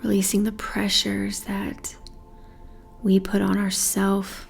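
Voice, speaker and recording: Female meditation guide: unhurried, soothing, very steady pitch, breath-soft phrase endings. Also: vocal fry, soft background music.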